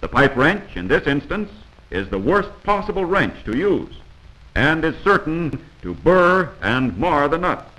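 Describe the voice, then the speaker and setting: A man's voice: on the high side, very animated, unhurried, bright, forceful tone, rough recording. A man narrating a 1940s instructional film from a script, in an instructive, emphatic manner on a vintage film soundtrack.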